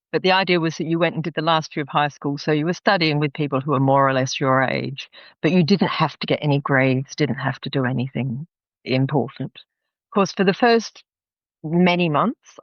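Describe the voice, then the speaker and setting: A woman's voice: low-pitched, no room sound, dull audio. Chatty Australian woman telling stories. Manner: chatty storyteller, laughs often. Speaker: guest. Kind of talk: podcast interview.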